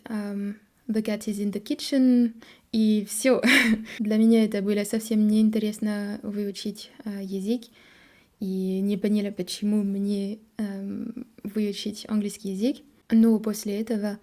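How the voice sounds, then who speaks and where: A young French woman with a French accent, talking to camera in a learner's halting Russian, pausing often to search for words and correct herself. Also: mid-range voice, warm tone. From France. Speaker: vlogger. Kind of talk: casual monologue to camera.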